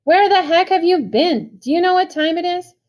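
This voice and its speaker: Woman reading a mad big brother hollering, higher and louder than her narration. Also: quick pace, natural voice. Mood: furious scolding. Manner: shouting, scolding. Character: older brother, angry stern young man.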